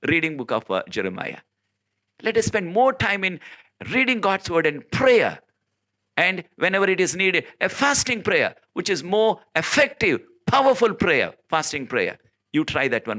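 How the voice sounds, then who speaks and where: Earnest South Indian preacher, bright voice. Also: male voice. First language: Telugu. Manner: earnest, emphatic, with many filler pauses and sudden rises in pitch. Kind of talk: informal recorded sermon.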